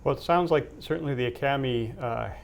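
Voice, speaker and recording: A man's voice: medium pitch, fast, vocal fry, slight background noise. A man conducting a formal interview, asking polite questions with frequent hesitations. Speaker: interviewer, university leader.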